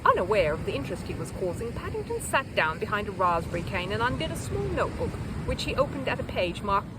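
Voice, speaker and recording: A woman reading a children's story aloud as an expressive audiobook narrator. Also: medium pitch, fast, noisy background. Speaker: storybook reader.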